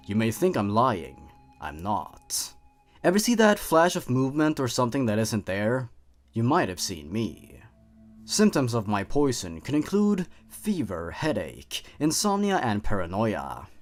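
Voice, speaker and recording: A man's voice: medium pitch, a little mellow. A man voicing a sly, unseen poisoner who hides in food, taunting the listener in a teasing, mock-innocent way.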